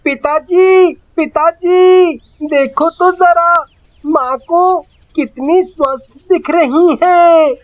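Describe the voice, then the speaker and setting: A woman's voice: extremely high pitch, drawn-out words, muffled recording, exaggerated voice. A woman voicing a fisherman's eager young son in a story, childlike, pleading and emphatic. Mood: excited.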